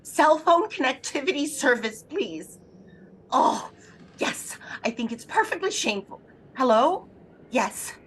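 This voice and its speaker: Frantic bossy sickly wife in an old-style radio thriller, voice swooping all over, noisy background. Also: female voice, high-pitched, sing-song, unhurried, fairly bright tone, exaggerated voice. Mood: indignant, demanding. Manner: agitated, demanding, melodramatic. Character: imperious, anxious invalid wife, increasingly frantic. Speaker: lead actress in an audio drama. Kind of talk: throwback radio thriller acting.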